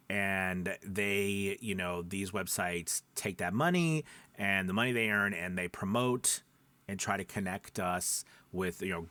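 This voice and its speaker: Male coach, low voice, dry close sound, casual conversational teaching with frequent check-ins. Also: a bit bright, dry recording. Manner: conversational, frequent check-in tags like right and okay. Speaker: voice-over coach and host. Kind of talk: casual instructional chat to camera.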